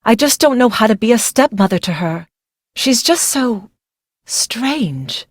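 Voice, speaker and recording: A woman's voice: on the high side, expressive, measured pace, no room sound, clean studio sound. A young woman playing a secretive, fearful young bride in a video game, speaking in a weary, brooding, dramatic way.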